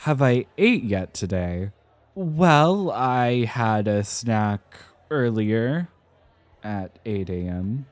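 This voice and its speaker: A man playing an anxious, rambling young architect in an ASMR roleplay, with crisply enunciated, theatrical but hesitant speech. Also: fairly low pitch, expressive, extremely slow, dry recording. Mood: flustered, tipsy. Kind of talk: ASMR roleplay, speaking to the listener.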